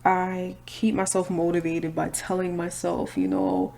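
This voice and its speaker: A woman's voice: fairly low pitch, little pitch movement, a little slow, vocal fry, mellow. A woman, chatting casually and candidly while doing her makeup. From Brooklyn, New York. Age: 20s. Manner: chatty, candid, slangy asides. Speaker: vlogger.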